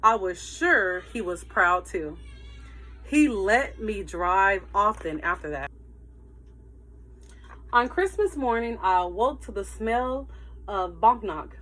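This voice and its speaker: Bright, expressive woman reading to children. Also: medium pitch, slow pace, pauses often. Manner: expressive, emphatic storytelling. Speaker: teacher reading aloud to pupils. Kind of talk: animated children's read-aloud with asides to listeners.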